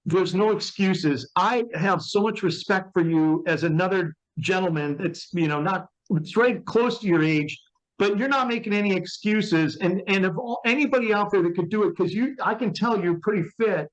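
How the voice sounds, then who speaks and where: High-voiced emphatic man in his fifties. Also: moderate pace. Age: 50s. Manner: emphatic, motivational, repeats points. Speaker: interviewer and wellness product promoter. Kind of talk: conversational interview. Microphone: remote call. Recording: dull, muffled call audio.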